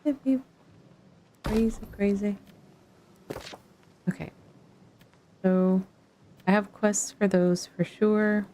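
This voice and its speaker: A woman's voice: mid-range voice, takes it slowly, long pauses, dark tone, slight background noise. A woman streaming a game with casual chat, musing to herself in short fragments over music. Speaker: streamer playing an online fantasy game. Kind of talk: casual gameplay chat, thinking aloud.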